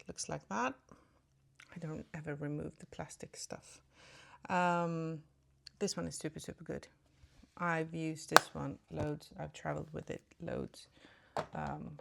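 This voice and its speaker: A woman from Sweden with a slightly Swedish-tinged British English accent, chatting casually through a makeup tutorial just after waking up, dropping to soft murmurs as she applies makeup. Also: fairly low pitch, vocal fry. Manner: chatty, apologetic asides, soft murmurs while applying makeup. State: just woke up. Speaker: beauty vlogger.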